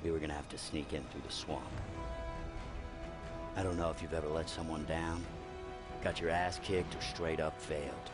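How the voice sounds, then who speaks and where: Grim brooding young superhero, super deep voice, tired and heavy, big music behind. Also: male voice, flat delivery, moderate pace, long pauses. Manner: grim, weary narration. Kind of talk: game cutscene narration.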